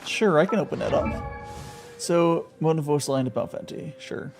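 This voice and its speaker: A man's voice: fairly high pitch, lively intonation, moderate pace, creaky. A man streaming a game, rambling and joking casually. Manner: rambling, joking, laughs often. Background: game music.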